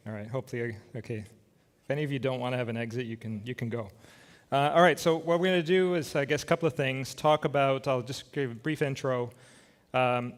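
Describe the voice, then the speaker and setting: Casual man on stage. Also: mid-range voice. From Scotland. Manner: casual, self-deprecating, frequent filler words. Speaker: conference keynote speaker. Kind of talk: conference talk with slides. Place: conference stage. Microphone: stage microphone.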